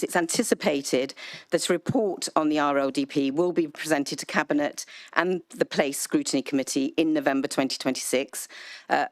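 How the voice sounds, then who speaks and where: A woman councillor answering public questions at a council meeting, speaking in a measured way with frequent ums and restarts. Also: deep voice, no room sound. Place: council chamber, hybrid meeting. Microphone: desk microphone.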